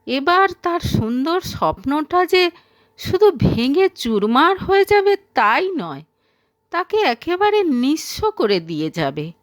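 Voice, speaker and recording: Slow, lively-pitched woman narrating a story. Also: no room sound. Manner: gentle, unhurried storytelling. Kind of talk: scripted audio story narration.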